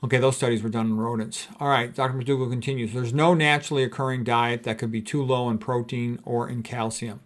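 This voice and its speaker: A man's voice: medium pitch, steady tone, brisk, few pauses. A middle-aged American man, a physician, giving an informal lecture in a conversational, opinionated manner. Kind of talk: informal lecture with commentary on quotes.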